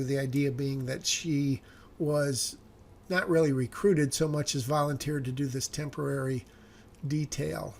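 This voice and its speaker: A screenwriter thinking aloud about his draft, hesitant and self-correcting, his voice a little raspy today. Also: on the high side, slight background noise. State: slightly raspy voice. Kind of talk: thinking aloud while reviewing a script.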